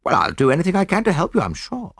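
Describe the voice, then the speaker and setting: An eager young army captain in an audiobook mystery, played by a man. His British voice sits high and leaps about in pitch. He chatters in brisk, clipped, upper-class phrases with a slightly uneasy air. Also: wildly swooping pitch, breathy edge, no echo, studio quality, heavily acted. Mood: obliging.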